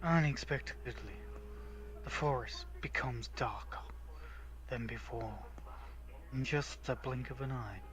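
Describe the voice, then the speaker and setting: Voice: mid-range voice, unhurried, long pauses, poor audio. A giggly, playful game streamer who switches between casual chat and dramatic read-aloud narration, with game music behind. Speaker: streamer reading a visual novel.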